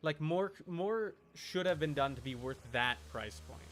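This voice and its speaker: A man with a slightly high, bright and forceful voice talks as a game streamer. He chats casually while playing, arguing his opinions with emphasis. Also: lively intonation, a little slow, soft background music.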